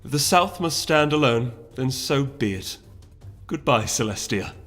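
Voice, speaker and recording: Storybook duchess, acted by a man: fierce and defiant, forceful indignant delivery, raised pitch, music underneath. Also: animated, measured pace. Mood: grim resolve. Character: fierce defiant duchess, pleading southern leader.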